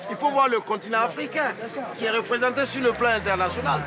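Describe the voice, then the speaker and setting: A man's voice: extremely high pitch, fast talker. A man from Senegal, a football fan speaking proudly and in a declaiming tone to an interviewer on the street. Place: crowded street outdoors. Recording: loud crowd and music behind.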